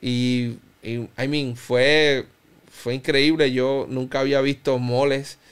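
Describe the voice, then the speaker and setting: A Cuban-born man in his thirties speaks slowly, pausing often and restarting his phrases. His voice is smooth and clear, at a middle pitch, with a light Cuban Spanish accent. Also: flat delivery. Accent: Cuban Spanish, softened by Puerto Rico. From Cuba. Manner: reflective, halting, many restarts and fillers. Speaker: interview guest. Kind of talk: personal testimony in conversation.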